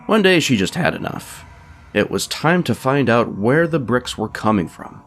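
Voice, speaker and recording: A man narrates a scripted comic tale in a mid-pitched voice. His reading is animated yet dryly funny, with quiet music in the background. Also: moderate pace. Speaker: narrator, writer and producer.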